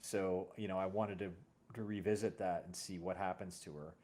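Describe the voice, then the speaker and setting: A man's voice: sits low, fairly even intonation, moderate pace, darkish tone. A man hosting a casual interview, talking in a hesitant, rambling way and often correcting himself. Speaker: podcast host and audio drama series creator.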